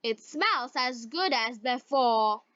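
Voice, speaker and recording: High-voiced headstrong boy, read by a woman. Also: animated, slow, a bit bright, dry recording, muffled recording. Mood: matter-of-fact. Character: headstrong young merchant's son in a moral tale.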